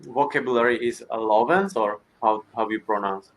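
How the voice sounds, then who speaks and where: A man from Slovakia with a Slovak accent, speaking halting English as a learner in casual conversation and searching for words. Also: medium pitch, rough recording.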